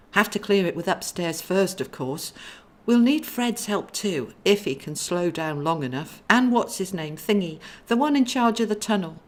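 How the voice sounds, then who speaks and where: A woman voicing a kindly male angel in a storybook, a genteel, musing big brother with a plan. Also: on the low side. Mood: inspired.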